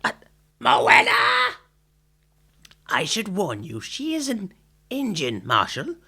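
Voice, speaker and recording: A man's voice: high voice, huge pitch swings, takes it slowly, gravelly, pushed and bright, breathy edge, full character voice. A man playing a fussy, bigoted, pompous tailor in a western radio serial, with exaggerated, effusive delivery. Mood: boastful, fussy.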